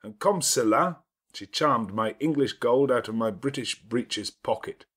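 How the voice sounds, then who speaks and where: Man acting a commanding, brooding English gentleman, slightly husky. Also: medium pitch, unhurried. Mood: bitter, sardonic. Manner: grandiloquent, sardonic, emphatic. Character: brooding, domineering, teasing middle-aged English gentleman. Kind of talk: dramatized classic novel audiobook reading.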